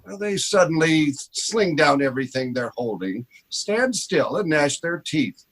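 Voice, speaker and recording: An actor plays a puzzled, dismissive male robot expert in a vintage-style radio play. His voice is husky and a little high, with hugely varied intonation. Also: big pitch swings, measured pace, a bit bright, full character voice. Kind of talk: recreated 1930s radio drama.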